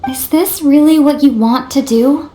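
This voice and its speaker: A woman voicing a gentle, concerned confidante in a video game, softly questioning. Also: sits high, a little slow, roomy sound, slight background noise. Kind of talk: dramatic video game voice acting.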